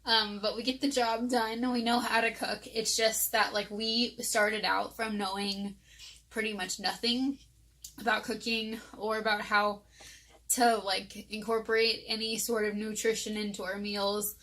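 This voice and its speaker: A woman's voice: medium pitch, level tone, unhurried, fairly bright tone. A young woman doing a casual voice-over about her meal prep at home, talking chattily with lots of likes and ums. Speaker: vlogger.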